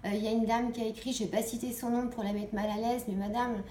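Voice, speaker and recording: A woman's voice: fairly high pitch, steady tone, fast, mellow. A woman gives an informal political address to viewers, earnest and exhorting, repeating phrases for emphasis. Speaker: online video host. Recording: echoey room, clear background noise.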